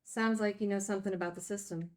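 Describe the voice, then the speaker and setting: A woman's voice: mid-range voice, fairly even intonation, fast talker, natural voice. Woman plays female recovering addict, group-home teacher; candid, wry, naturalistic film drama, mid-range voice. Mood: wry, guarded. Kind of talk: naturalistic screenplay table read.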